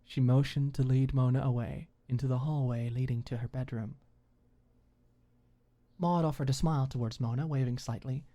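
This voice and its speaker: A woman's voice: rumbling low, steady tone, normal speed, mellow. A woman reading scripted story narration in an ASMR audiobook style.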